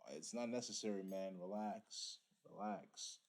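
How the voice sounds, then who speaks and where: A man with a fairly low voice, talking straight to his audience in a preachy, casual reaction commentary. His first language is Igbo, and he keeps checking in with listeners as he makes his points. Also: measured pace. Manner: preachy, addresses viewers directly. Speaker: reaction streamer.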